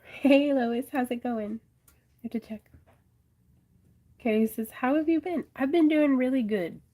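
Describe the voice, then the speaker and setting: A woman's voice: high-pitched, measured pace. A woman hosting a crafting livestream, chatting casually and reading viewer comments aloud, congested and losing her voice with a sinus infection. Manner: chatty, reads viewer comments aloud, frequent soft laughs.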